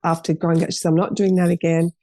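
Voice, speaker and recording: Gravelly-voiced woman telling her story. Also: fairly low pitch, level tone, fast, mellow, no echo. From Australia. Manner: rambling, earnest, restarts her sentences. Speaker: guest, cafe owner. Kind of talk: casual interview storytelling.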